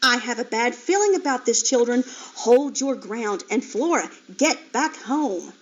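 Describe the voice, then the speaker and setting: A woman's voice: on the high side, expressive, measured pace, dull audio. Woman voicing a firm, protective mother sow. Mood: urgent. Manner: firm, urgent warnings.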